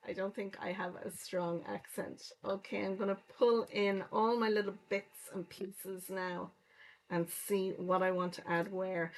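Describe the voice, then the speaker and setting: A middle-aged woman from County Clare with a soft Irish accent, chatting casually while she works through a card-making livestream. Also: medium pitch, rough recording. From Ireland, County Clare. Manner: chatty, explains each step while working. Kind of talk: casual crafting livestream chat.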